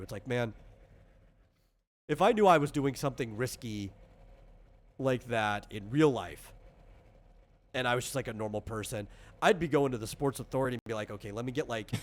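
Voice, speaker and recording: A man's voice: medium pitch, animated, fairly quick. A man in the role of game master, casually explaining tabletop game rules in a rambling, conversational way with lots of asides.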